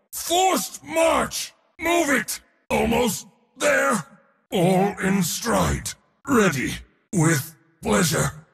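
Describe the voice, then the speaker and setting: An actor voicing a proud, pompous god of war for a video game, with a theatrical, commanding military delivery. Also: male voice, very high-pitched, gravelly, a bit bright, in a large hall, heavily acted. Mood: confident. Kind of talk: video game hero voice lines.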